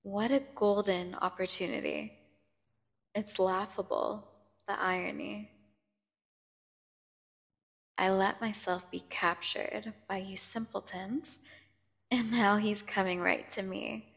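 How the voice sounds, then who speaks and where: A woman playing a menacing, seductive vampire prisoner in an ASMR audio drama, taunting and condescending with theatrical pauses. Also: medium pitch, steady tone. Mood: gloating menace. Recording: long cavernous reverb.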